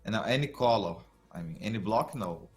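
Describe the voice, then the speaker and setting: Male co-host, casual, quips in with short lines, very lively pitch. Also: a little fast, soft background music. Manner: chimes in briefly, joking. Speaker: live stream co-host. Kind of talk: casual game tutorial chat.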